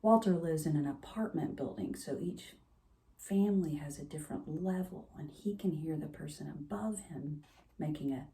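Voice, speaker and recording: A woman's voice: sits low, moderate pace, dark tone. A woman storyteller reading a children's picture book aloud at bedtime, expressively, with chatty asides.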